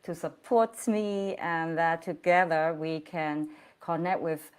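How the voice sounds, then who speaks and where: A woman's voice: deep voice, steady tone, slow, clear, resonant tone. A woman hosting an online interview over a video call, speaking in an effusive, encouraging way and laughing often. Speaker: host.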